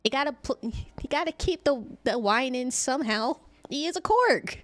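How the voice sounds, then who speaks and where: A woman's voice: high-pitched, expressive, normal speed, no echo. A woman streamer giving casual live game commentary in a playful, teasing, blunt way, close to the microphone.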